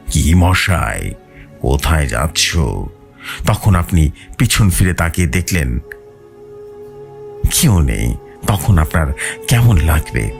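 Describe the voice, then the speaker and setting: A low-voiced man tells a horror story. His delivery is dramatic, with lively rises and falls in pitch. Music plays behind him. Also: creaky. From West Bengal, India. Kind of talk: dramatic scripted horror narration.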